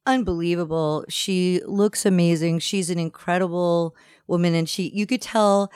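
A woman co-hosting a podcast in casual chat, slightly hoarse from a cold and telling stories in a relaxed way. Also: fairly low pitch, moderate pace, dry recording, studio quality. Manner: relaxed, talkative storyteller. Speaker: podcast co-host.

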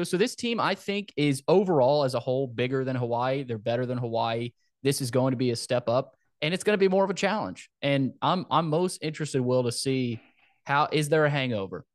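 A man hosting a sports podcast, talking casually through a football preview in a halting way, repeating words and phrases. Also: medium pitch, expressive, no room sound, clean studio sound.

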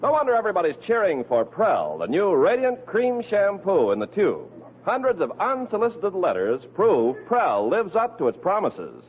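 A man's voice: high-pitched, huge pitch swings, normal speed, husky. An American man announcing in polished, promotional 1940s radio style, reading a scripted sponsor script. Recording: narrow-band vintage radio recording with music. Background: music.

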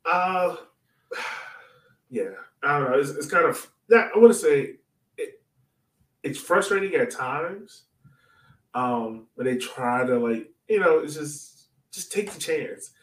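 A man's voice: a little high, animated, slow pace, long pauses. A man co-hosting a sports podcast in casual, informal soccer chat, often tossing in asides. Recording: roomy echo, distant from mic.

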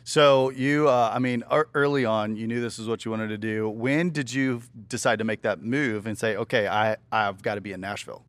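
A man hosting a podcast interview in a relaxed, halting way, with plenty of fillers. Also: lowish voice, dry recording. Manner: laid-back, hesitant, many fillers. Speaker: podcast host. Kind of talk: casual interview.

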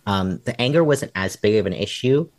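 A young man speaking as an interview guest, thinking out loud with many pauses and ums. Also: fairly low pitch, animated, no echo. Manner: hesitant and reflective, many ums. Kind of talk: candid personal interview.

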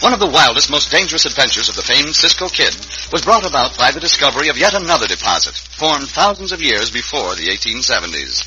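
A man's voice: medium pitch, very animated, quick pace, sharp tone, lo-fi sound, slight background noise. A man narrating an old radio western as announcer, with grand, theatrical delivery. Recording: old radio broadcast with music behind. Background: dramatic music.

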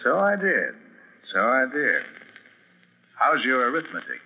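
An actor playing a shrewd, self-assured private detective in a 1940s radio drama. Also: male voice, medium pitch, wildly swooping pitch, unhurried. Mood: probing, confident. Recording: tinny, noisy 1940s radio recording.

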